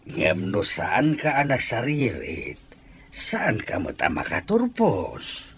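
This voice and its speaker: A man's voice: mid-range voice, wildly swooping pitch, slow, a bit bright, a little hiss or hum, full character voice. An actor playing a stern old grandfather in an Ilocano radio drama, with an Ilocano accent. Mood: probing. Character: stern old grandfather questioning his young helper.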